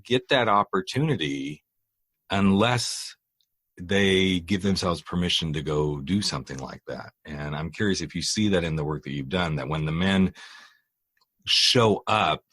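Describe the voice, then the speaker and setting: A man's voice: low-pitched, a little slow, a bit bright, breathy edge, no room sound. A man hosting a podcast interview, asking long conversational questions. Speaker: podcast host.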